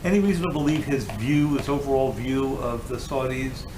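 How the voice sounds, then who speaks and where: A man asking questions as a reporter at a press briefing, deliberate and somewhat hesitant. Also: mid-range voice. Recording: echoey room with clear background noise.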